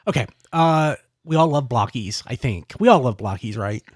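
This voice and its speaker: A man's voice: mid-range voice, moderate pace, no room sound. Sick stuffy guy hosting a podcast, voice jumps around a lot, dry sound. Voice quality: stuffy nose. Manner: lively, rambling, self-deprecating. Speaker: podcast host. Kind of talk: casual roundtable chat.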